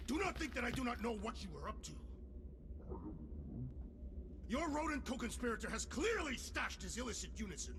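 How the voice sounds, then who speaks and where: A man playing a solemn, suspicious alien warrior in a video game, speaking in formal, deliberate, literal-minded phrases. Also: very high voice. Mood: accusing. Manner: formal, deliberate wording without contractions, grandiose. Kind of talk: video game cutscene acting. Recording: game ambience noise behind voice.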